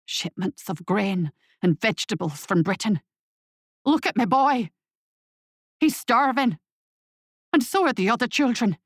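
A woman voicing a starving old male farmer with a ragged, wheezing voice, shouting in anger and desperation. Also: fairly low pitch, moderate pace, husky, no echo, studio quality. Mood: pleading.

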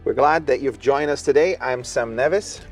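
A man co-hosting an interview podcast, questioning his guest in a friendly, lively way. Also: medium pitch, moderate pace, soft background music. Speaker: podcast co-host.

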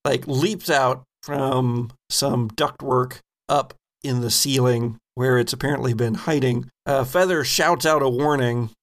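A man narrating as game master of a tabletop role-playing game, conversational and improvised, pausing as he describes things. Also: medium pitch, fairly even intonation.

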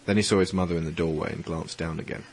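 A man's voice: deep voice, fairly even intonation, quick pace, gravelly, slightly rough. A man reading scripted third-person story narration for an audio drama. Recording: music under the voice.

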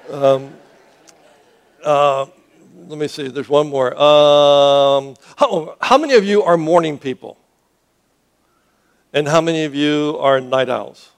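Earnest male pastor preaching. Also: a little high. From Cuba. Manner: earnest, repeats and restarts words, asks the audience questions. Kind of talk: sermon.

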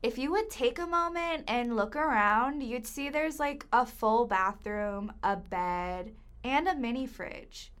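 A young woman playing a blithe, creepy high school kidnapper in a student audio drama, casual and taunting. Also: lively intonation, a little slow, hardly any pauses, a bit bright, clean tone. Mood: breezy. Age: young adult.

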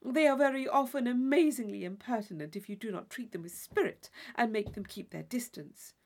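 A woman's voice: fairly high pitch, brisk, a little mellow. A woman voicing an affected, gushing young society lady in an audiobook of a classic novel, with theatrical emphasis. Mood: affected, emphatic.

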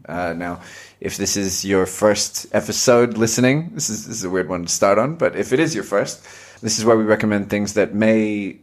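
A man with an Australian accent, co-hosting a podcast in relaxed, rambling casual chat. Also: lowish voice. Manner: relaxed, self-deprecating, rambling. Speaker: podcast host.

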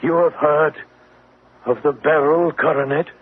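An actor playing a distraught, remorseful elderly English banker in a radio drama, with an agitated, halting manner. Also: male voice, on the high side, steady tone, slightly rough. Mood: desperate, earnest. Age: older. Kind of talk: classic radio drama acting. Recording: tinny, band-limited old radio, faint hiss.

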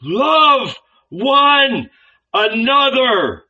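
A man's voice: high voice, takes it slowly, lo-fi sound, pitched above the performer's own voice. A man voicing an impassioned male prophet who preaches to a crowd in a raised, imploring voice. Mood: crying out. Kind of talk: audiobook character voice.

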